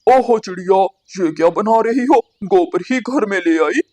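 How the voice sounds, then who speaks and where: A woman voicing a nosy, henpecked crow husband in a cartoon, with a husky, nagging delivery. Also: mid-range voice, lively intonation, moderate pace, a little mellow, close to an everyday voice.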